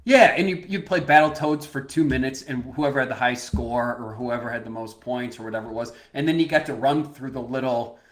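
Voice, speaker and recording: A man in his thirties co-hosting a podcast, telling lively stories and laughing easily. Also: medium pitch, brisk, few pauses. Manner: animated storyteller, laughs easily, restarts sentences. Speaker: podcast co-host. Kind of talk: casual chat.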